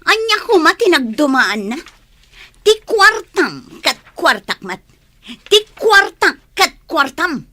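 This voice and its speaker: An exasperated, outspoken Ilocano woman in a radio drama. Her voice is high and swings widely as she complains and sighs. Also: big pitch swings, slow, sharp tone, exaggerated voice. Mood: resentful, complaining. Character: exasperated, outspoken woman, Frank's partner Roslyn.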